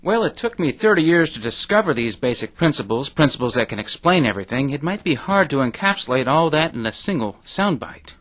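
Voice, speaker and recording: A man's voice: fairly high pitch, sing-song, a little fast, few pauses, a bit bright. A man playing an earnest, long-winded author explaining grand theories as an interview guest in an audio drama. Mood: modest, pleased.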